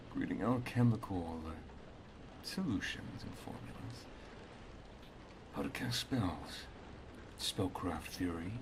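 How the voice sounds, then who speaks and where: A man playing an affectionate, teasing wizard master in an ASMR roleplay, speaking softly, intimately and in a measured way. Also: fairly low pitch, noisy background. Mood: instructive, affectionate.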